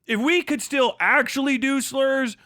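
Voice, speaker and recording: A man's voice: extremely high pitch, measured pace, pushed and bright, no echo. A man hosting a livestream, delivering a profane, mocking commentary rant and laughing often. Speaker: livestream host.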